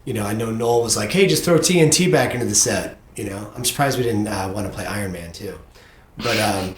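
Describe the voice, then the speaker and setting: A man's voice: fairly low pitch, fairly quick, slight background noise. A middle-aged man hosting a podcast, interviewing in a casual, conversational way. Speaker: podcast host and parent. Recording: roomy, echoey sound.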